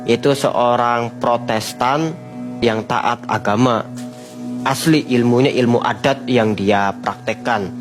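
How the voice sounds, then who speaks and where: A man, a guest telling a long personal story in an unhurried, vivid way. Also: mid-range voice, steady tone. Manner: unhurried, vivid storyteller who often addresses the host. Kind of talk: storytelling in a podcast chat. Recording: music playing behind.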